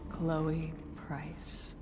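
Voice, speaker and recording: A woman playing a broken, guarded mother in a game drama, speaking in a weary, deliberate way with bitter resignation. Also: low voice, monotone, big-room sound, loud music behind the voice. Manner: weary, deliberate, clipped phrases. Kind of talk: naturalistic dramatic game acting.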